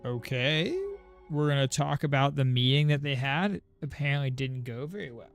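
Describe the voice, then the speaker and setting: A man's voice: medium pitch, moderate pace. A man doing casual game stream reactions, with skeptical, slangy, repeated asides. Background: faint game music.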